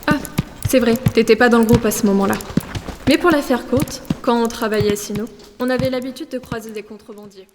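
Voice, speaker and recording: A woman as a high-voiced, curious adventurer. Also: brisk, close to an everyday voice. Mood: casual. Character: curious, tactful, sensitive female insect adventurer. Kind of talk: audio drama acting. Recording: faint background noise.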